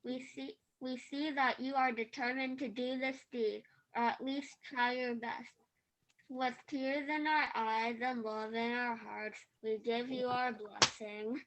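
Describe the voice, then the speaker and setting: A woman's voice: a little high, fairly even intonation, a little slow, fairly bright tone, rough recording. A woman playing a devoted, sorrowful parent in an amateur dramatic folktale reading. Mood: tender, sorrowful. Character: devoted, tearful parent blessing an only son.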